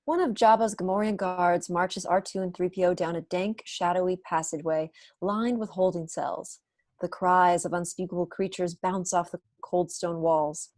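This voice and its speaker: A young woman narrates a screenplay from home on a video call. Her voice sits fairly low, and the sound is dry. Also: fairly even intonation, normal speed, a little mellow, dry recording. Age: young adult. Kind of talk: scripted narration, reading stage directions.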